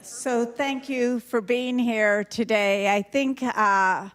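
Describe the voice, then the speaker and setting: A woman who directs a nonprofit, introducing a panel at a community meeting in a measured way with fillers between phrases. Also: fairly high pitch, steady tone. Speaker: nonprofit executive director and moderator.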